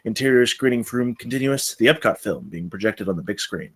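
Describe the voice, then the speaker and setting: A man's voice: on the low side, lively intonation, a little fast, fairly bright tone, breathy edge. A man reading stage directions as the narrator of a screenplay table read.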